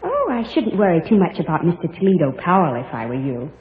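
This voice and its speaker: A woman's voice: fairly low pitch, huge pitch swings, quick pace. A kindly, angelic young woman with prim, precise manners. Her voice carries a long echo on a tinny vintage radio recording. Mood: gently admonishing. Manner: polite, precise, gently proper. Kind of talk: 1940s radio drama acting.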